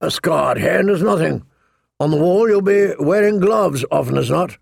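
A man voicing a gruff, grumbling old male military commander who sounds weary and disdainful. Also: fairly high pitch, lively intonation, moderate pace, no echo, clean studio sound, full character voice. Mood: grave.

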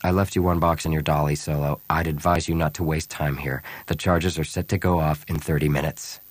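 A man's voice: a bass voice, fairly even intonation, a little fast, no room sound. A man voicing a cool, businesslike male rebel soldier in an audiobook, curt and to the point. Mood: curt, cold. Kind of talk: audiobook character acting.

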